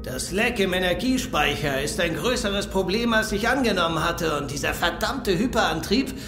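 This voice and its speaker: An actor in a German audio drama playing a dutiful, obedient alien ship officer who reports in clipped military manner. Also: male voice, high voice, animated, normal speed, gravelly, background noise. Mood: worried. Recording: echoey ship room, music behind.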